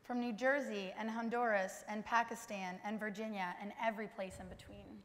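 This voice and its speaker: A woman's voice: medium pitch, steady tone, moderate pace. A young woman delivering a scripted stage recitation as a student performer. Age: young adult. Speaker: student performer in a readers theater. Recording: reverberant hall.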